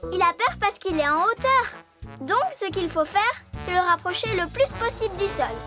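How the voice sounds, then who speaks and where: A cheerful little girl elephant from a children's cartoon. Her voice is extremely high, eager and exclamatory, with loud music underneath. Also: animated, moderate pace. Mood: concerned, eager. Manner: enthusiastic, exclaiming.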